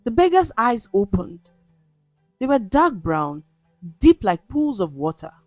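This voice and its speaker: A woman storyteller reading a scripted folktale in a dramatic, measured way. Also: a little high, very animated, no room sound, faint music behind.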